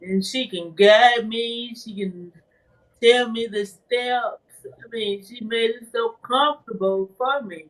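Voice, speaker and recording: Soft-voiced woman, hesitant and slow. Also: on the high side. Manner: hesitant, rambling, laughs often. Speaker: interviewee, author. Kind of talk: casual conversational interview. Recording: voice from far off the mic.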